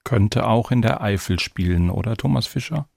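A man moderates a podcast in an informal conversational style. His voice is lowish and extremely creaky, recorded dry and close. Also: moderate pace, darkish tone, no echo. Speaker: podcast host.

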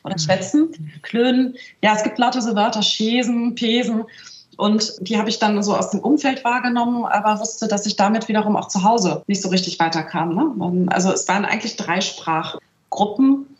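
A woman's voice: mid-range voice, normal speed. A middle-aged woman from northern Germany, a translator speaking as an interview guest, in a thoughtful, searching way with frequent little tag questions. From northern Germany, near Celle. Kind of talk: reflective interview talk. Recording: roomy, slightly dull remote audio.

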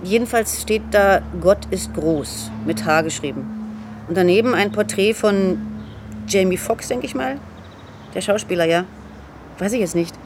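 An actress playing an assertive police officer in a radio drama, brisk and matter-of-fact. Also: female voice, mid-range voice, noisy background, close to an everyday voice. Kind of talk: naturalistic radio drama dialogue.

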